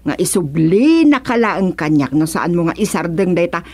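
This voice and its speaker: A woman's voice: fairly low pitch, big pitch swings, moderate pace, a little mellow. A woman playing a stern, concerned mother who admonishes, in an Ilocano radio serial drama. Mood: scolding. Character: stern, concerned mother scolding her grown child.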